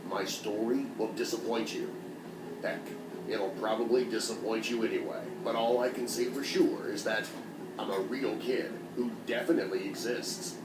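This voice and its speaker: Brief: man as a mock-solemn storybook kid with an adult's low voice, echoey and noisy track. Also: moderate pace, close to an everyday voice. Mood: deadpan. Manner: deadpan, mock-solemn delivery. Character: male kid with a grown man's deep voice, deadpan. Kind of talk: comedic storytime animation narration.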